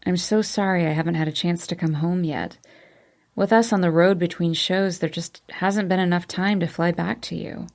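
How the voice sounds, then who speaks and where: A woman doing a hushed, murmuring young man. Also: deep voice, level tone, takes it slowly, creaky, pitched below the performer's own voice, exaggerated voice. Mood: apologetic. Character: young adult man, tender and low-voiced.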